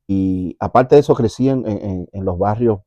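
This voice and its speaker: A man with a Dominican accent, a pastor sharing his personal testimony in an earnest, reflective way, often pausing and repeating words. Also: on the low side, dark tone, dry recording, dull audio. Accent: Dominican Spanish. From Dominican Republic.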